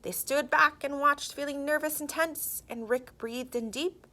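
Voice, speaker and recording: A woman narrating a children's storybook in an expressive, theatrical and emphatic way. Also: high voice, measured pace.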